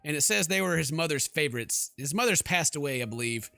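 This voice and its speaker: Bright, higher-voiced man playing games. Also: expressive, quick pace, creaky, soft background music. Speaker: gaming YouTuber. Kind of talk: let's play commentary with dramatic read-alouds.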